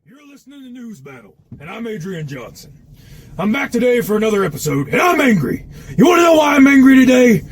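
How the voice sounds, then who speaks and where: An actor playing an angry, blunt conspiracy commentator delivering an emphatic political rant in an audio drama. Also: male voice, extremely high pitch, big pitch swings, brisk, heavily acted. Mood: furious. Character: furious, ranting male conspiracy commentator.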